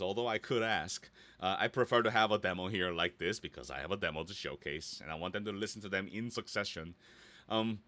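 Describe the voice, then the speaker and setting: A man with a bright, forceful voice talks through an informal how-to session. His pitch moves around a lot as he chats playfully and drops in little silly interjections. The sound is close and dry, like a home studio microphone. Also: no room sound. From Korea or Korean heritage, based in Los Angeles. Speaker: voice actor leading a website tutorial. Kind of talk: casual live screen-share tutorial.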